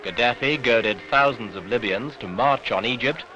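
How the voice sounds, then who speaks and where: A man's voice: mid-range voice, normal speed, dull audio, over music. A British man reading scripted newsreel narration with pointed, dramatic phrasing on an old 1970s soundtrack.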